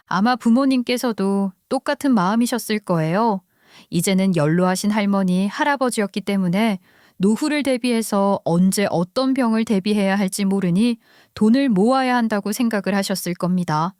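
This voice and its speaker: A woman's voice: medium pitch, a little mellow, no room sound. Woman narrating a story in a dry studio. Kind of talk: scripted first-person story narration.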